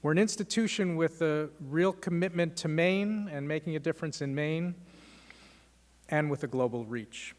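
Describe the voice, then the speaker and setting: A man hosting a law school lecture, giving measured welcoming remarks and thanks, with frequent "uh" fillers. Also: a little high. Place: lecture hall. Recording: long hall reverb.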